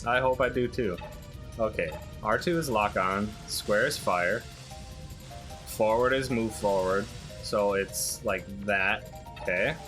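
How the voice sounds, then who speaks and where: A young man talks casually through a game he is playing, explaining in halting, informal bursts. His voice is fairly low and bright, with faint music behind. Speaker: let's play host playing the game. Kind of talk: casual gaming commentary.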